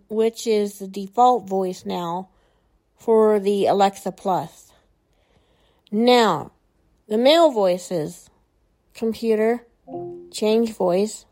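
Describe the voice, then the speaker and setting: A woman's voice: medium pitch, takes it slowly, long pauses, warm tone. A woman demoing a smart speaker, giving it short commands and casually explaining what it does. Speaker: presenter demonstrating a smart speaker. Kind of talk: casual demo walkthrough.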